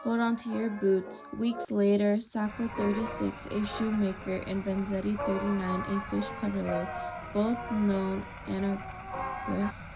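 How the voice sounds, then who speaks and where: Creaky young woman co-host on a fake 1920s radio show, pretty flat, old slang, theatrical, muffled with music. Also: mid-range voice, unhurried. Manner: theatrical delivery with period slang. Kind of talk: scripted 1920s-style radio news banter and report. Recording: muffled lo-fi audio with music behind.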